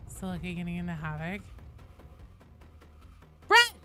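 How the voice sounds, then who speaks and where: Bright-voiced woman streaming a game. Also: mid-range voice, lively intonation, moderate pace, faint music behind. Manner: sweary, informal. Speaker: game livestream host. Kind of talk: casual livestream chat with viewers. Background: faint video game sounds.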